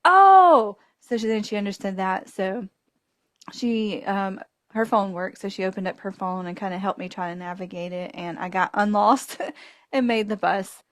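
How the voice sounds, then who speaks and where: A woman's voice: medium pitch, moderate pace, no room sound, clean studio sound. A woman hosting a podcast, telling a travel mishap with frequent laughter and acted-out dialogue. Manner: animated, laughing storyteller acting out voices in her story. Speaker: podcast host. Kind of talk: casual travel storytelling.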